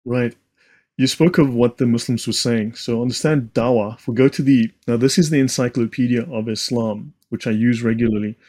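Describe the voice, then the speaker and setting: A man's voice: medium pitch, steady tone, brisk, a little mellow. A man speaking as an interview guest, giving explanatory commentary in an assertive, didactic way with emphatic points. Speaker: interview guest, religion researcher.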